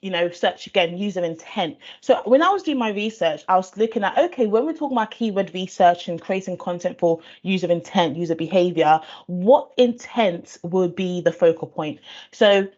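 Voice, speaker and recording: A woman's voice: fairly low pitch, lively intonation, normal speed, darkish tone. A woman from Nigeria who grew up speaking Igbo, explaining SEO in a thoughtful conversational way, with fillers and restarts. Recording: dull lo-fi audio.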